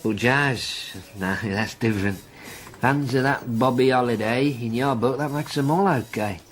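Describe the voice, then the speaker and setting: A man's voice: medium pitch, unhurried, a little hiss or hum, close to an everyday voice. A man acting a blunt, needling police detective in a radio drama, with a wry, colloquial manner.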